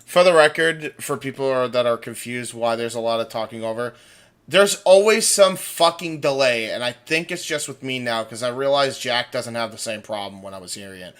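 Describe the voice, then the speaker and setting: A man's voice: mid-range voice, moderate pace, a bit bright. A man co-hosting a livestream over a voice call, chatting informally and reading out comments with some swearing. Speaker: livestream co-host. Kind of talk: casual chat, reading out viewer comments.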